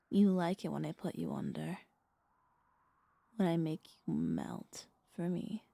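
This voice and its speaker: Possessive vampire mistress for ASMR roleplay, played by a woman: breathy half-whispers, mellow and unhurried, soothing but commanding. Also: on the low side, dry recording, exaggerated voice. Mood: seductive, controlling. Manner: slow, coaxing, commanding hypnotic delivery. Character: possessive, soothing female vampire mistress hypnotizing her pet.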